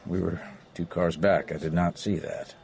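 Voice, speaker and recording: Low, creaky, guarded agent. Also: male voice, fairly even intonation, normal speed, darkish tone. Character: cautious, deferential government security agent, adult man. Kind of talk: audio drama acting.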